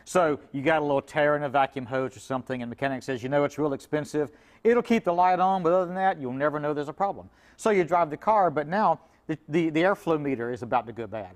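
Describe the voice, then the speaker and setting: A man's voice: fairly high pitch, quick pace. A middle-aged man, a car repair expert, explaining things conversationally in a folksy way and acting out imagined quotes. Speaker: auto repair shop owner, guest expert.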